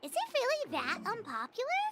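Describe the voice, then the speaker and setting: A woman voicing a tiny, eager and fussy childlike companion creature in a video game, with a squeaky voice. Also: huge pitch swings, a little fast, heavily acted. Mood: earnest, questioning. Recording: prominent music behind the voice.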